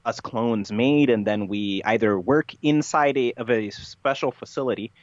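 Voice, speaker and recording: A man telling his story as a podcast guest in a casual, matter-of-fact deadpan, with halting phrasing. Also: mid-range voice, no echo. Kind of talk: casual interview storytelling, played straight. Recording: dull, slightly lo-fi podcast audio.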